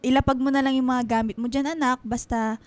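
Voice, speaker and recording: A woman acts out a chatty, hospitable middle-aged mother for radio drama. Her voice sits high, in a close, dry recording. She fusses effusively and proudly over everyone. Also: fairly even intonation, a little fast, no room sound, close to an everyday voice. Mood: welcoming, fussing. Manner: effusive, fussing motherly talk. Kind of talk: Filipino radio drama acting.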